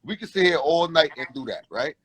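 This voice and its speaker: A man's voice: a little high, lively intonation, fairly quick, fairly bright tone, slightly rough. A man hosting a panel discussion, rambling through restarts and rhetorical questions.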